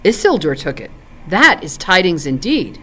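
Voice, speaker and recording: A woman's voice: fairly low pitch, big pitch swings, moderate pace, a bit bright, breathy edge, full character voice. A woman reading the part of a proud male warrior from the South in a storybook, forthright and formal in archaic phrasing. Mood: surprised. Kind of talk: storybook character in read-aloud.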